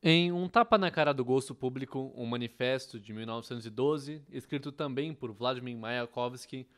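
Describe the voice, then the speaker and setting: A man's voice: mid-range voice, normal speed, fairly bright tone. A man with a Brazilian accent reading a scripted educational narration, with a wry, ironic manner. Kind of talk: scripted explainer narration, dubbed.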